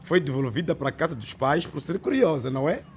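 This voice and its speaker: Animated, high-voiced, weary schoolteacher. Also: male voice, fast, a little hiss or hum. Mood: stern, instructive. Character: weary, authoritarian male schoolteacher, frustrated but open to change. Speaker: actor in a radio soap. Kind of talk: radio drama acting.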